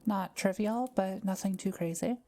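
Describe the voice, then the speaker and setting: A woman playing a kind, quiet forest witch in an audio roleplay, speaking gently and patiently as she explains things to the listener. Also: medium pitch, moderate pace, a little hiss or hum, lightly acted. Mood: kind, reassuring. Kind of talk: soft-spoken audio roleplay addressed to the listener.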